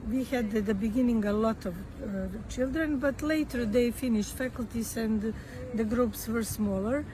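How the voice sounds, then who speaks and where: A woman with a Serbian accent, a non-native English speaker, speaking as a podcast guest. She talks in a slow, halting way, with many fillers and restarts. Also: a little high, noisy background. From Croatia. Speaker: guest author and activist. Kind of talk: podcast interview.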